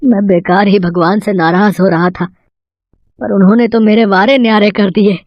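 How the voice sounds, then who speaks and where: A woman's voice: medium pitch, fairly even intonation, normal speed, no echo, dull audio, voice pushed down, close to an everyday voice. A woman voicing a greedy, proud male village farmer in a cartoon moral story. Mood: delighted.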